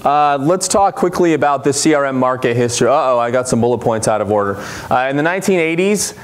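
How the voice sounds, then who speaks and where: A man with a loud, carrying voice gives a conference presentation, quizzing the audience and explaining terms. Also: mid-range voice, fast talker. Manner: energetic, engages the audience with questions. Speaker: conference speaker. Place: conference room.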